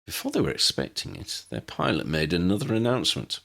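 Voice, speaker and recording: A man's voice: low voice, big pitch swings, fairly quick, creaky, a bit bright. A man narrating a story as an audiobook reader, expressively reading scripted fiction.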